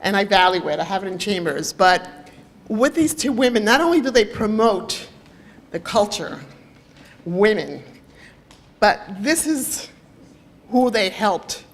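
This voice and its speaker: A woman's voice: mid-range voice, lively intonation, measured pace, pauses often. A Dominican-born woman in her fifties giving an off-the-cuff, rambling ceremony speech, slipping in Spanish now and then. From Dominican Republic. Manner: warm, rambling, mixes in Spanish. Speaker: judge and honoree speaking at a ceremony.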